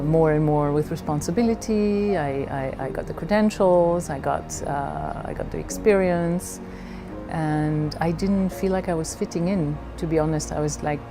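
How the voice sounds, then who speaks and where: Middle aged woman from belgium talking personally, low voice, halting and reflective, music playing behind her. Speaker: leadership coach.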